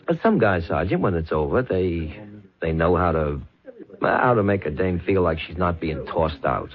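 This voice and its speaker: An actor playing a streetwise nightclub man who talks in a rambling, halting, slangy way, in a 1970s radio drama. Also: deep voice, lively intonation. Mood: gossipy. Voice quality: gravelly, raspy. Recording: tinny old radio broadcast.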